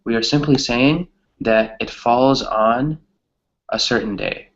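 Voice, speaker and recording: A man's voice: medium pitch, slow, highs cut off. A man teaching a conversational English grammar class, often checking in with a questioning tag as he explains.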